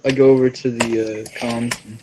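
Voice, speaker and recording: Male tabletop player, average pitch, laid-back slang, informal online-call chat. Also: flat delivery, normal speed, noisy background. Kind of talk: casual chat while playing.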